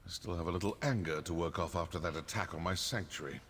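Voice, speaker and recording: A man voicing a vengeful, sardonic assassin in a fantasy video game, husky, measured and coolly menacing. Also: low-pitched, a bit bright, a little hiss or hum. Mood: dry menace. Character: vengeful, sardonic male assassin from a dark brotherhood. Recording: long cave-like reverb.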